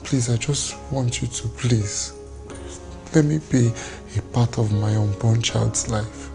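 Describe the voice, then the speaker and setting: A man's voice: mid-range voice, takes it slowly, vocal fry, music in the background. An actor playing a remorseful husband who begs forgiveness from his wife and her mother. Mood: begging. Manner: pleading, apologetic, deferential. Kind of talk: Nollywood drama acting.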